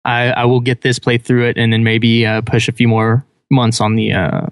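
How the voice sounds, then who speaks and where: A man's voice: mid-range voice, flat delivery, normal speed, no echo, clean studio sound. A man chatting casually on a podcast in an easygoing, slightly hesitant way. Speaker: podcast guest.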